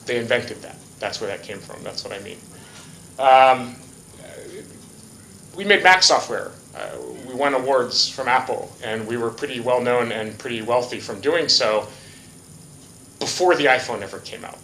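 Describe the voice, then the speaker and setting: Man, conference speaker, bright voice, casual and joking, roomy hall with faint hiss. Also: mid-range voice, moderate pace. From Hawaii. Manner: casual, joking, many fillers. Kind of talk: conference talk. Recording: echoey hall.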